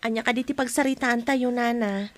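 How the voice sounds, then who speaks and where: A woman's voice: fairly high pitch, fairly even intonation, a little fast, close to an everyday voice. A woman with an Ilocano accent playing an earnest younger woman in an audio drama. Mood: subdued, respectful.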